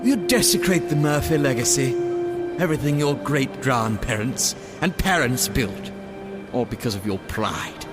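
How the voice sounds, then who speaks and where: A man's voice: mid-range voice, expressive, measured pace, loud music behind the voice, natural voice. An actor playing a stern, indignant authority figure, a man delivering accusations in a dramatic audio drama. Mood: indignant, accusing. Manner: scolding, indignant. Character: stern, accusing authority figure, male, scolding a disloyal legacy.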